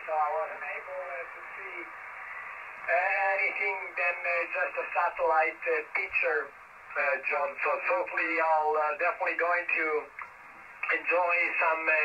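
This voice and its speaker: A man's voice: on the high side, steady tone, unhurried, background noise. A man on a ham radio contact, talking hesitantly with many fillers about his equipment. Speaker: radio amateur on air. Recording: distant muffled shortwave radio.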